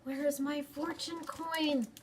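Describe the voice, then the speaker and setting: Give the sound of a woman playing an excitable young storybook girl. The voice is high and expressive, full of exclamation, on a noisy recording. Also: slow pace, distant mic. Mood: upset. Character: excitable young girl narrating a picture book.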